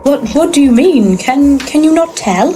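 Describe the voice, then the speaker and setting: A high-pitched old woman for a comedy audio play. She is polite and flustered, with clear noise behind her voice. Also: animated, a little slow, mellow. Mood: anxious. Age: older. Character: anxious, polite old lady client in haunted home. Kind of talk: comic audio drama acting. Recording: clearly noisy background.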